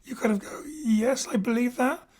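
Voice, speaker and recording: A woman's voice: on the high side, steady tone, moderate pace, darkish tone. A woman hosting a post-show interview as director, with reflective, rambling questions. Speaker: director and host.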